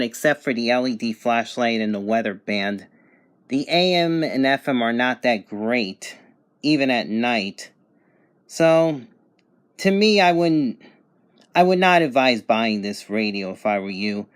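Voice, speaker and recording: A man's voice: medium pitch, lively intonation, a little slow, clean tone. Casual man reviewing a gadget. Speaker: radio reviewer. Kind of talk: casual, unscripted product review.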